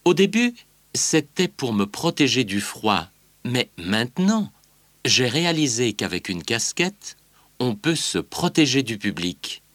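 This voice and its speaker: A man's voice: medium pitch, very animated, a little slow, a bit bright, dry recording. An actor voicing a male singer on a promo tour, reading a scripted interview in an easygoing way. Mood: candid.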